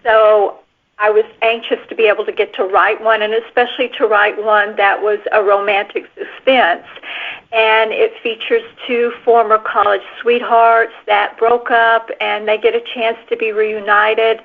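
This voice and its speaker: A woman's voice: a little high, level tone, a little slow. A woman author answering questions as a guest in an interview. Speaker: novelist guest. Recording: phone-like radio-sounding line.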